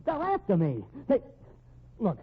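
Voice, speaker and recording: A tinny old radio recording of a desperate fugitive brother in a crime drama. The voice is high-pitched and rough, spitting hard-bitten gangster slang. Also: male voice, wildly swooping pitch, measured pace, exaggerated voice. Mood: frantic. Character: desperate fugitive brother, a small-time hoodlum.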